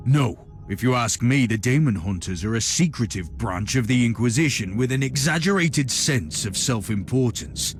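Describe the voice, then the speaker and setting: A man's voice: mid-range voice, animated, close to an everyday voice. An actor playing a commanding male Inquisitor in a video game, stern and dryly sardonic, with an authoritative delivery. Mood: dry, dismissive. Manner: authoritative, dry, deliberate. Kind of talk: video game cutscene acting.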